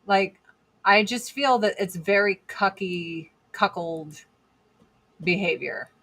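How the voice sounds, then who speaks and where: A woman in her forties with a deep voice, chatting bluntly and profanely on a casual livestream while doing her makeup. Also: slow pace, fairly bright tone. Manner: blunt, profane, sarcastic, reads chat comments aloud. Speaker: livestream host.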